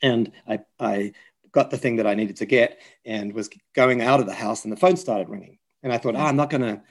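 A man's voice: medium pitch, a little fast. Older man, South African-New Zealand accent. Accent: mixed South African and New Zealand. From South Africa, later New Zealand. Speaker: podcast guest, physician and chaplain. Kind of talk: reflective personal storytelling.

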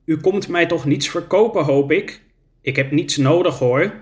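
A woman's voice: low voice, pitched above the performer's own voice. A man reading a storybook and voicing a frail, confused ninety-six-year-old woman in a care home. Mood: bewildered. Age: 90s. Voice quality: slow, hesitant, a little confused.

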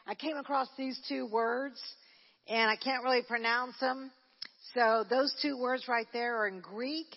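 A woman's voice: on the high side, measured pace, highs cut off. Woman preaching in an echoing church hall. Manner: conversational, with frequent restarts, rising to fervent preaching. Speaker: church minister leading a prayer service. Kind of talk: Pentecostal sermon. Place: church sanctuary.

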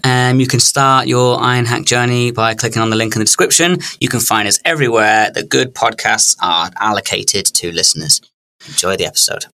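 Man, podcast co-host, low-ish voice, playful rambling banter. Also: brisk, bright, forceful tone.

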